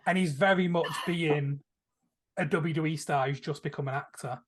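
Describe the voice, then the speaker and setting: A man's voice: on the high side, moderate pace, a loud crowd. A man co-hosting a podcast in casual film chat, acting out imagined remarks as he explains. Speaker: podcast co-host.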